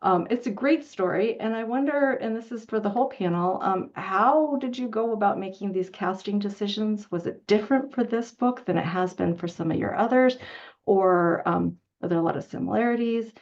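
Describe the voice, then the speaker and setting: Woman with creaky voice, rambling informally. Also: mid-range voice, normal speed, a little mellow. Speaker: panel moderator. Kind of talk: casual panel discussion. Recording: dull, lo-fi audio.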